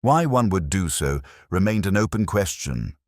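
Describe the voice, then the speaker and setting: A middle-aged man reading a scripted comic mystery story in a dry, measured, wryly grave manner. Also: low voice, very animated, no room sound. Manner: dry, measured, wry mock-gravity. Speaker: narrator.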